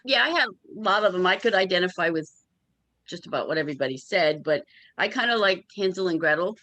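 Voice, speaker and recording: A woman's voice: fairly low pitch, expressive, brisk, a bit bright, no room sound, highs cut off. A woman sharing personal thoughts casually on a video call, plain-spoken and sure of herself.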